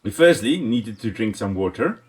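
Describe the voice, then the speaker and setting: Lively South African man narrating gameplay. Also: lowish voice, moderate pace. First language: Afrikaans. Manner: enthusiastic, chatty, talks to the animals on screen. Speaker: let's play host and ecologist. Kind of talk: casual gameplay commentary.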